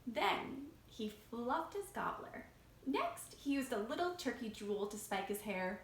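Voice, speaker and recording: A woman reads a picture book to young children. Her voice is high and full of lively swings, expressive like a storytime reader. The room is echoey with faint noise behind her. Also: a little slow. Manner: expressive, asking young listeners questions. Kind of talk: animated picture book read-aloud to children. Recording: roomy echo, faint background noise.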